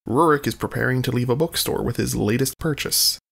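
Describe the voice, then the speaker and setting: A man's voice: medium pitch, a little fast, creaky, a bit bright. A man giving scripted narration, announcing and setting scenes as a narrator.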